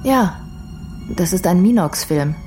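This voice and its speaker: A woman's voice: fairly low pitch, lively intonation, slow pace, mellow, soft background music. An actress playing a wary, educated young woman in a crime radio drama, terse and firm under threat. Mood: frightened, defiant.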